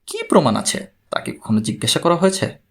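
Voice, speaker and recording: A man playing a calm, observant investigator who asks short, gentle, probing questions in an audiobook. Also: a little high, brisk, creaky, husky, exaggerated voice.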